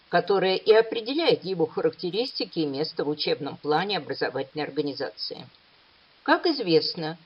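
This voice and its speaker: A woman giving a measured, explanatory academic lecture at a conference. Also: medium pitch. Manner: measured, explanatory, lively intonation. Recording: dull lo-fi recording.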